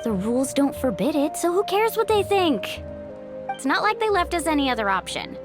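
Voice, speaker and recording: An upbeat young warrior woman for a video game. Her high voice moves in lively swings, breezy and sure of itself, over clear background music. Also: normal speed. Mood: upbeat, confident. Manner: breezy, chatty, self-assured.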